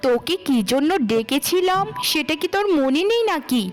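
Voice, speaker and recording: Woman acting a haughty, high-voiced spoiled young sister. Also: lively intonation, moderate pace, soft background music. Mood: scornful, scolding. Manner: haughty, scolding, self-admiring. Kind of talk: children's moral cartoon dialogue.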